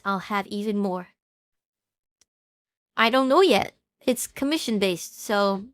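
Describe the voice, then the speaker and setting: A woman playing an anxious, apologetic, cash-strapped young streamer in an audio drama, halting and self-justifying. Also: a little high, dry recording, clean studio sound. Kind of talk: audio drama acting with narration.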